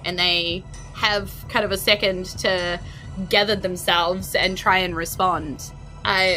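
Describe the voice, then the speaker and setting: A woman's voice: medium pitch, sharp tone, rough recording. A woman with a New Zealand accent improvising narration as game master of a tabletop role-playing game.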